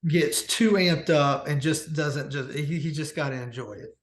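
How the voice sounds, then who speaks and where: A man's voice: a little high, normal speed, slight background noise. A man telling anecdotes in casual conversation as a podcast guest. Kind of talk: casual chat, storytelling.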